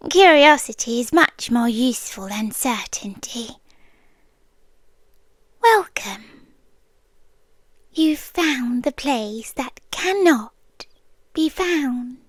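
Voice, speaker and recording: A high, soft British female voice, a woman acting as an otherworldly keeper of maps in an ASMR roleplay. She is whimsical and knowing, speaking extremely slowly and often sinking into a breathy whisper. The sound is close and dry. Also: expressive, dry recording, exaggerated voice. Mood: soft, enigmatic. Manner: slow, deliberate, musing, with dramatic pauses.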